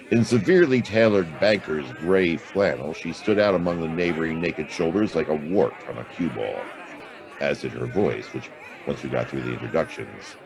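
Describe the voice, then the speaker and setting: A hard-boiled private eye in a noir radio drama. The voice is extremely low and gravelly, wry and laconic, with busy crowd chatter behind it. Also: male voice. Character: hard-boiled world-weary male private detective.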